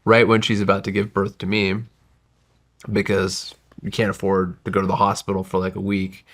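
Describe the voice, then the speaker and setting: A man in his forties whose first language was Gujarati talks as a podcast guest. His voice sits low and carries a gravelly rasp. He tells his story in a reflective, winding manner and keeps correcting himself. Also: monotone, moderate pace, a bit bright. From United States. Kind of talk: casual interview storytelling.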